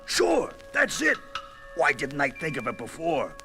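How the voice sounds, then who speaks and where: An actor playing a gruff, wisecracking brawler of a superhero on a 1970s record, telling his story in sardonic tough-guy slang. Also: on the high side, wildly swooping pitch, unhurried, music in the background, heavily acted. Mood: musing, determined.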